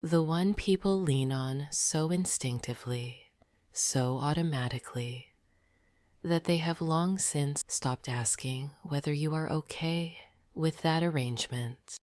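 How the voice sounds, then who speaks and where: A woman narrating a scripted sleep meditation in a soft, breathy near-whisper, gentle and deliberate. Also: deep voice, no room sound, studio quality.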